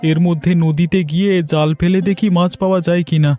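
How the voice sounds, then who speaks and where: A man plays a poor village fisherman and farmer in a cartoon tale. His voice sits a little high and has a soft, mellow tone. He sounds plaintive and worried, with faint music behind him. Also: steady tone, quick pace, close to an everyday voice. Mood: worried, practical. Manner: plaintive, lamenting. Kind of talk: cartoon story dialogue.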